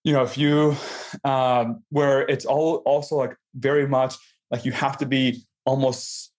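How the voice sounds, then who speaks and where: Young guy from mexico, podcast guest, thinking out loud, lots of restarts. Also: mid-range voice, flat delivery, unhurried. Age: young adult. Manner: reflective, many restarts and fillers. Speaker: guest, food company founder. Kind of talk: casual conversation.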